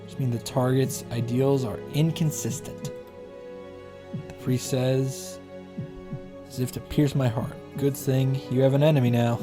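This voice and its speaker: Male streamer, mid-range voice, reads visual novel text aloud with live reactions, game music clearly behind him. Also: mid-range voice, steady tone, unhurried.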